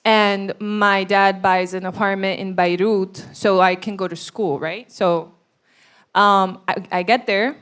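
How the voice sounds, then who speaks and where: A woman's voice: mid-range voice, steady tone, slow, fairly bright tone, clear, resonant tone. A young adult woman from Brazil, telling her personal story as a guest in an interview.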